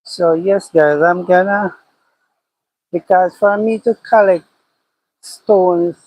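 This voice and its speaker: A man's voice: high voice, fairly even intonation, takes it slowly, a little mellow, no echo, low-quality recording. A man with a Jamaican accent, a cattle farmer livestreaming from his pasture, thinking aloud and repeating his phrases as he talks. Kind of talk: casual livestream chat, reading comments. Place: outdoors by a cow pasture.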